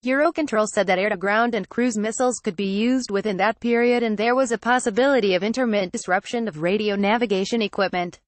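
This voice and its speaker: Woman, news reader, scripted narration, mid-pitched voice, dry studio-like sound. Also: no room sound.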